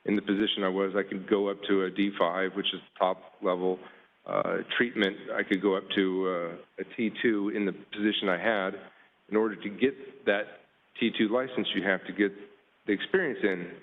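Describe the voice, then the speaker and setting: Man panelist, lowish voice, halting with fillers, phone-quality audio. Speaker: panelist, water utility worker. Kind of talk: presentation with slides, casual. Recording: tinny phone-like line.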